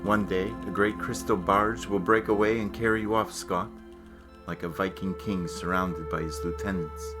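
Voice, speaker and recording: A man playing a mysterious, knowing guide in a dramatic stage reading, consoling and exhorting in solemn, deliberate, poetic phrases. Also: low voice, steady tone, lightly acted. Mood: tender, elegiac. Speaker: amateur theatre actor. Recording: prominent music under the voice.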